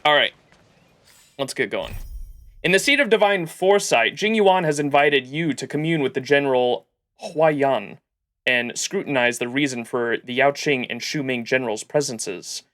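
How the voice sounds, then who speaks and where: A man's voice: medium pitch, moderate pace, a bit bright. A man livestreaming a game, giving informal, playful commentary and reading dialogue aloud.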